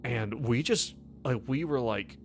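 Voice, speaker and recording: With faint music behind, a man acts out a guilt-ridden young man in a tabletop game. He speaks in halting, slangy bursts full of swearing, stammering as if under questioning. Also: medium pitch, vocal fry. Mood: shaken.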